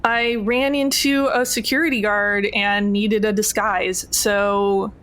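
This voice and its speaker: A woman's voice: medium pitch, steady tone, takes it slowly, fairly bright tone, clean tone. A woman playing a tabletop role-playing game, chatting informally and bluntly, in and out of character. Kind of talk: casual game chat, in and out of character.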